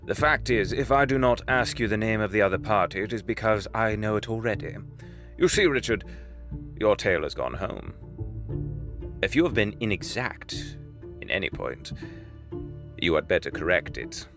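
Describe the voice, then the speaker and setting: Low, grave Victorian lawyer. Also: male voice, expressive, music in the background. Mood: grave, earnest. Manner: deliberate, probing, formal. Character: Mr Utterson, grave, discreet Victorian English lawyer. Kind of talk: audio drama acting.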